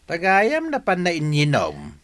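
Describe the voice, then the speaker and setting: Man as a lively gossipy neighbour. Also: a little high, unhurried, exaggerated voice. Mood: gossipy, complaining. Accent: Filipino. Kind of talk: audio drama acting.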